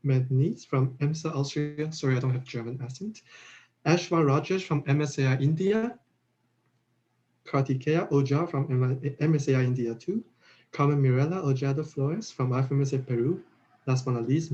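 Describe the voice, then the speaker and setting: A man's voice: medium pitch, warm tone. A man as federation president giving a formal closing speech over a video call, earnest, with small asides. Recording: slightly dull online call audio.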